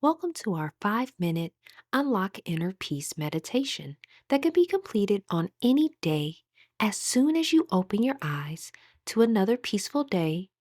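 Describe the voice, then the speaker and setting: A woman leading a guided meditation as instructor, speaking in a calm, soothing, measured way with pauses. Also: mid-range voice, no echo, clean studio sound.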